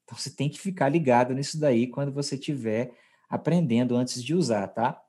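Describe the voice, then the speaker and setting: A man's voice: mid-range voice, fairly quick, dark tone, slightly rough. A Brazilian man hosting a podcast language lesson, explaining conversationally and checking in with his co-host. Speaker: podcast host teaching Portuguese.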